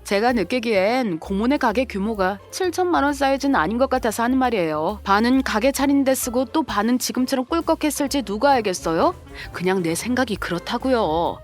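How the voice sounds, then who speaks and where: A woman's voice: a little high, expressive, fairly quick, hardly any pauses, soft background music, lightly acted. A woman playing a composed, sarcastic sister-in-law and protective mother in a scripted audio drama. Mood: accusing.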